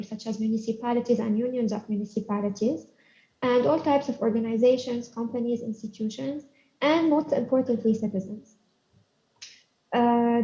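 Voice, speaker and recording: A young woman with a Lebanese accent giving a prepared pitch as a startup co-founder over a video call. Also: dark tone. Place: online conference call. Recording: dull, roomy video-call audio.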